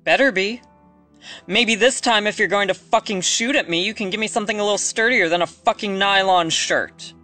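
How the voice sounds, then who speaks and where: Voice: medium pitch, brisk, sharp tone, soft background music, natural voice. A performer playing a furious, defiant, foul-mouthed woman test subject, taunting and aggressive, in an audio drama.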